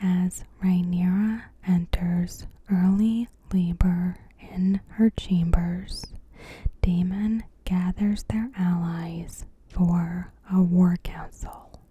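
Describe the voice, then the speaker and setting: A woman ASMR host narrating a recap in a soft, breathy whisper, with hushed, deliberate phrasing and gaps between phrases. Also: lowish voice, fairly even intonation, no echo.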